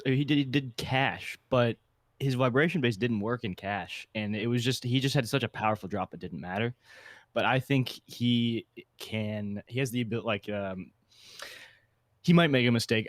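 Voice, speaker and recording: A man's voice: mid-range voice, no echo. A man co-hosting a casual podcast discussion, often qualifying and correcting what he says as he goes. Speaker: podcast co-host.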